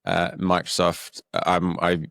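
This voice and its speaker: Deep-voiced kiwi host guy, flat monotone delivery, dry close sound. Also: takes it slowly, creaky, no room sound. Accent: New Zealand. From New Zealand. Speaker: podcast host. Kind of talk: interview questions, conversational.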